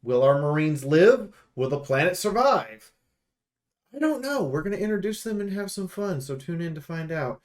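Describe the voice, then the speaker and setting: A man's voice: fairly high pitch, big pitch swings, moderate pace. A man giving casual, opinionated game preview commentary in an emphatic, rambling, sweary way. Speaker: YouTube host and game reviewer.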